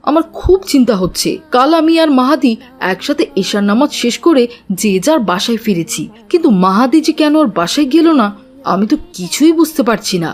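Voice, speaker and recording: Woman voicing an earnest, high-pitched little boy. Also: lively intonation, a little fast, a little mellow. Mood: worried, sad. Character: worried, devout young boy. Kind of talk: Bengali Islamic cartoon dubbing.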